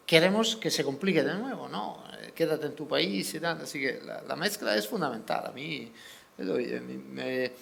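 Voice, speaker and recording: A middle-aged man with a slight Italian accent, speaking as a panelist in a forum discussion and pausing often with fillers. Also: a little high, vocal fry, fairly bright tone. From Italy. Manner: hesitant, many fillers and restarts.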